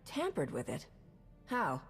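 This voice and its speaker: An actress playing a confident, wry smuggler captain in a video game cutscene, with music underneath. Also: female voice, low-pitched, wildly swooping pitch, takes it slowly, full character voice. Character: bold young woman smuggler captain. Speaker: starship captain.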